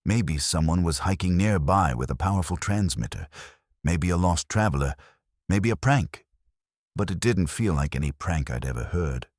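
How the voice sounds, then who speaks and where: A man with an extremely low, husky voice narrates a scary tale in the first person. His delivery is suspenseful and dramatic, with lively rises and falls in pitch. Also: moderate pace, no echo, studio quality. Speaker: horror story narrator.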